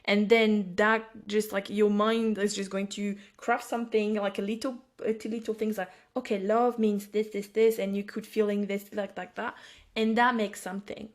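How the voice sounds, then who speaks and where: A woman's voice: mid-range voice, little pitch movement, moderate pace. A woman from France speaking English with a French accent, hosting a casual podcast chat from home in the evening, often restarting as she searches for words. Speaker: podcast host. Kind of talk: casual conversation. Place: home, at night.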